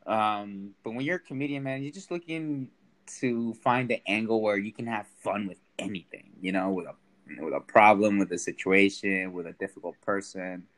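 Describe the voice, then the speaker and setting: A man's voice: on the low side, moderate pace, a bit bright, low-quality recording. A young man from Sacramento, a stand-up comedian, chatting casually as a podcast guest, self-deprecating and laughing often. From Sacramento, United States.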